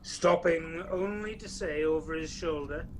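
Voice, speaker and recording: A man's voice: a little high, measured pace, slight background noise, natural voice. A man acting as narrator of a radio play, telling a ghost tale in a dramatic, wry storytelling manner.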